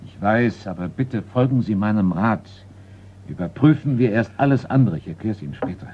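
Man acting composed low-voiced adviser, tinny recording. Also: animated, lightly acted. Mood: calming. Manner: composed, polite, measured, insistent. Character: calm, discreet male art valuer advising a colonel. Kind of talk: German radio crime play acting. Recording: tinny, narrow-band radio recording, faint noise.

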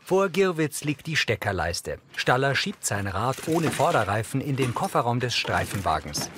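A man's voice: medium pitch, big pitch swings, normal speed, heavy background noise. A man reading scripted, matter-of-fact audio description for a TV crime comedy.

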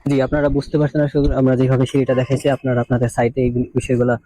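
A man giving an informal on-site explainer as an engineer, in repetitive, halting phrases. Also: mid-range voice, flat delivery, warm tone, no room sound, low-quality recording. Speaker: engineer presenting a construction video. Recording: music behind the voice. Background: background music.

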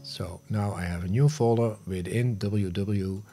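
A middle-aged man, around his fifties, presenting a scripted step-by-step software tutorial into a close studio microphone in clearly segmented, instructional phrases. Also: on the low side, a little slow, soft background music. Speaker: tutorial host. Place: home studio room, indoors. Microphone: close studio microphone on a boom arm.

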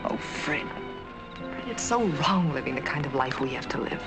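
A pleading young nurse. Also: female voice, extremely low pitch, lively intonation, normal speed. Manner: pleading, emotional. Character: young woman, a nurse, restless and ambitious. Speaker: nurse torn between love and money. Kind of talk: 1940s film acting. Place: outdoor park bench at night on a film set. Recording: old film soundtrack with music.

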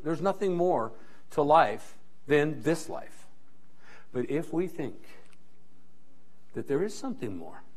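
Middle-aged male pastor, high-pitched, animated preacher who repeats his points for effect. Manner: animated, strolling the stage and gesturing; emphatic, repeating key phrases. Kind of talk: sermon. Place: church stage, indoors. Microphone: handheld mic.

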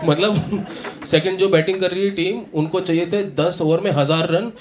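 A man in his thirties doing a stand-up comedy set on a club stage, telling animated stories and acting out characters into a handheld mic. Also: high-pitched, moderate pace, a loud crowd. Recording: live club audio with audience noise. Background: audience laughter.

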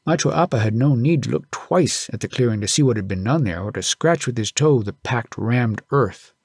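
A man's voice: medium pitch, expressive, normal speed, darkish tone, slightly rough, dry recording, studio quality. A man reading a story aloud as an audiobook narrator, in scripted storytelling style.

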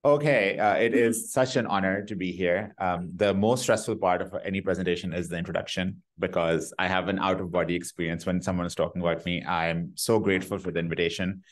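A middle-aged man giving an academic lecture on a room microphone, talking eagerly in quick bursts and poking fun at himself. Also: sits low, steady tone. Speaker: pathology grand rounds speaker. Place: lecture hall. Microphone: room microphone, uneven volume.

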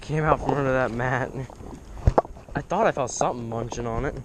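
A man's voice: medium pitch, a little fast. A teenage boy talking casually while fishing from a kayak, rambling with lots of fillers, recorded on a head-mounted camera outdoors. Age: teens. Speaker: fishing vlogger. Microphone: head-mounted action camera. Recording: outdoor noise on an action cam.

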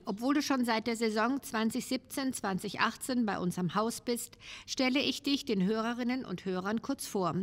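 A German woman hosting an interview podcast in a room of an opera house, reading a formal welcome and then asking questions. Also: a little high. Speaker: podcast host. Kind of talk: scripted intro then interview.

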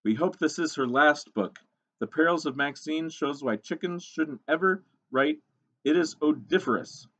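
A man's voice: fairly high pitch, animated, moderate pace, a bit bright, breathy edge, lo-fi sound, voice pushed up, heavily acted. A man voicing a pompous, scornful book reviewer in a storybook, with withering delivery.

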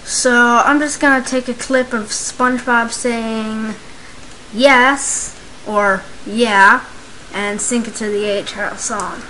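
A speaker giving a casual, unscripted step-by-step software tutorial, recorded at home. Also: medium pitch, fairly even intonation, takes it slowly, poor audio. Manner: informal, unscripted step-by-step narration. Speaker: tutorial maker. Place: indoors, home computer screen recording. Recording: hissy, clicky home microphone recording.

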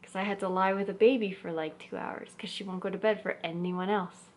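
A woman's voice: mid-range voice, fairly even intonation, fairly quick, a little mellow. A woman in her thirties chatting at home beside her husband, wry and teasing, filmed by a camera held at arm's length. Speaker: vlogger's wife. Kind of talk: casual chat. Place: living room at home.

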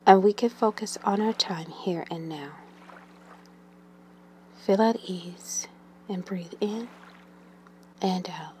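A woman leading a guided meditation in a gentle, soothing manner, with long pauses and breathy phrase endings that trail away. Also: medium pitch, a little hiss or hum.

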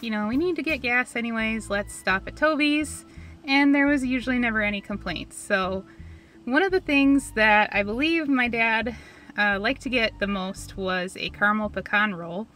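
A woman's voice: mid-range voice, pushed and bright. A young woman from Minnesota, co-hosting a food vlog from a parked car, upbeat and giggly as she chats. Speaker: food vlogger co-host. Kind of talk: casual food review chat. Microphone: camera on dashboard.

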